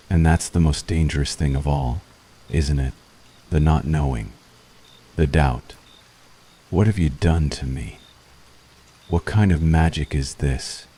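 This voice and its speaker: A man playing a brooding, conflicted witch hunter in an intense, deliberate ASMR roleplay monologue. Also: very deep voice, mellow.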